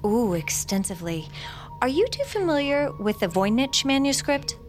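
A woman's voice: fairly high pitch, very animated, moderate pace, soft background music. An actress playing an eccentric, brusque and superstitious woman who is an occult expert, speaking in a pompous, theatrical manner in an audio drama. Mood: lecturing, dramatic. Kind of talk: audio fiction drama acting.

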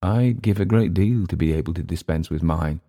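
An impatient, world-weary older man , voiced by a male narrator. He speaks low and brusquely, as an irritable character in a literary audiobook. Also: moderate pace, dark tone. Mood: dismissive.

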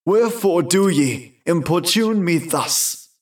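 A man's voice: high voice, animated, slow pace, far from the mic. A man voicing a proud, stern medieval Scottish knight with solemn, declamatory phrasing in a storybook tale.

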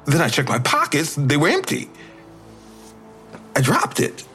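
Audio drama boyfriend,: injured, affectionate, earnest, highish voice with hugely animated pitch. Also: male voice, a little slow, soft background music, heavily acted. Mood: confessional, nervous. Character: injured, playful boyfriend, tender, earnest, wearing thin.

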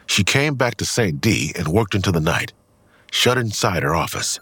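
A man's voice: low voice, very animated, moderate pace, bright, forceful tone, no echo. A man narrating a scripted audio drama in a storytelling style. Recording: music clearly underneath.

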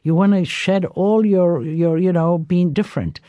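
A woman's voice: fairly low pitch, unhurried, dry recording, dull audio. An older woman chef from Istria with an Italian accent, telling her story in a radio interview, with many fillers. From Istria, Italy/Croatia. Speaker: chef, guest.

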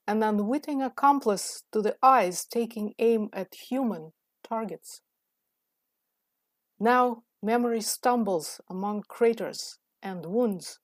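Slow, deliberate woman reading poetry. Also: mid-range voice, no echo. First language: Russian. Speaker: poet.

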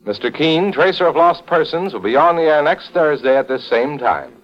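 A male announcer from old-time radio, somewhat high in pitch and very animated. His delivery is polished and emphatic, heard on a muffled vintage broadcast recording. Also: moderate pace, soft background music.

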